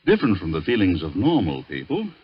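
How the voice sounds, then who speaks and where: Placating older guardian, low husky voice, swooping intonation, halting, 1940s radio drama. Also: male voice, huge pitch swings, full character voice. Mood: condescending. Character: evasive older male guardian, soothing yet needling. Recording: dull vintage radio recording.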